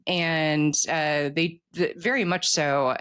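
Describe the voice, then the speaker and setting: The speaker talks in a casual, conversational interview style at a mid-range pitch. The delivery is animated and rambles through explanations, with relaxed swearing dropped in. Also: slow, smooth, no echo, studio quality.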